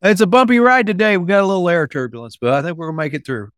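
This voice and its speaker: A man's voice: high-pitched, racing, a bit bright, no echo, clean studio sound. A man co-hosting a podcast, chatting and asking questions casually. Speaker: podcast co-host. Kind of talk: casual chat.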